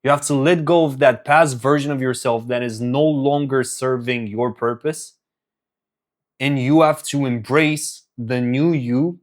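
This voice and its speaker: A man's voice: mid-range voice, measured pace. Young man from Romania, business coach, casual pep talk, earnest. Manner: earnest, repetitive, many fillers and restarts. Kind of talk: casual motivational talk to camera.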